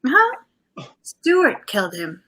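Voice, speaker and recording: An actress playing a detective's loyal, insistent wife in 1940s radio style, emphatic and pleading. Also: female voice, high voice, huge pitch swings, takes it slowly, sharp tone, husky, heavily acted. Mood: urgent, insistent.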